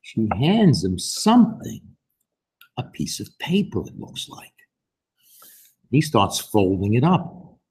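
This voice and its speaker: A man reading a storybook aloud to children in an animated way, putting questions to his listeners in a gently instructive manner. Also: fairly low pitch, slow, pauses often, low-quality recording. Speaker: storybook reader and host.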